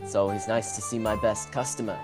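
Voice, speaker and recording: A man's voice: lowish voice, level tone, fairly quick, lightly acted. A teenage male actor playing a friendly, protective tavern keeper in a student fantasy radio drama, with music behind. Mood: welcoming. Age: teens. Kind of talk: student radio theatre acting.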